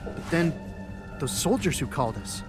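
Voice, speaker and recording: A man's voice: on the high side, sing-song, normal speed, music in the background. A man voicing a cocky, brash male hedgehog hero who keeps wisecracking. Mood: wary.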